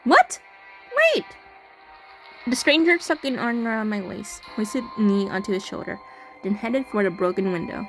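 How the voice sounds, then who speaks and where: Woman narrating over loud game music. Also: medium pitch, moderate pace, poor audio. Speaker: game playthrough host. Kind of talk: casual commentary and scripted narration read aloud.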